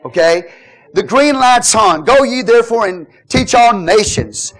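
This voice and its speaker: Middle-aged man, pastor mid-sermon; fairly high voice, playful asides, builds to loud exhortation. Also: expressive, measured pace. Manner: jokey, swings from quiet asides to loud exhortation.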